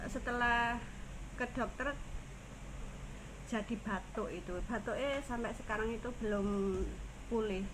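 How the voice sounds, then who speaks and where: A woman with a Javanese Indonesian accent gives a casual language lesson. She speaks unhurriedly in a fairly high voice and repeats each phrase twice. A noisy background hums behind her. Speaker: caregiver teaching language. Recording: noisy ambience.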